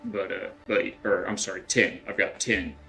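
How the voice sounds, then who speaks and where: A middle-aged man from West Texas talks through his game in an easygoing, rambling way, full of fillers and restarts. His voice sits low and has a gravelly rasp to it. Soft game music runs faintly underneath. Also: steady tone, normal speed. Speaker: game streamer. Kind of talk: casual gameplay chat with chat readers.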